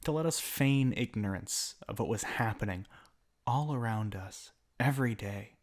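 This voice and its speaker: A man's voice: fairly low pitch, moderate pace, lightly acted. A man playing a bitter, mocking villain in an ASMR roleplay monologue, speaking theatrically with sardonic asides and often dropping toward a whisper. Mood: bitter, accusing. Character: bitter, mocking male villain revolutionary monologuing at a fallen hero. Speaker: voice actor in an audio roleplay.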